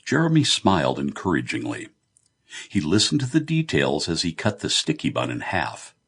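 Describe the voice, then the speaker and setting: Casting: male audiobook narrator for fiction, low-pitched, with expressive, varied intonation. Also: normal speed, slightly rough.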